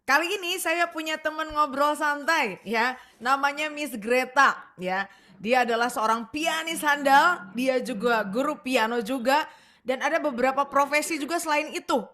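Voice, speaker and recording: Casting: Indonesian female chat show host, fairly high voice, chatty, expressive, prone to giggles, informal delivery. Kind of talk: casual chat show hosting.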